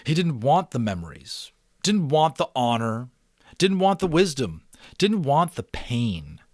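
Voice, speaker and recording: A middle-aged man giving a dramatic reading of a novel, with deliberate emphasis and casual asides to his listeners. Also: medium pitch, sing-song, dry recording, clean studio sound. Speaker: audiobook reader and channel host.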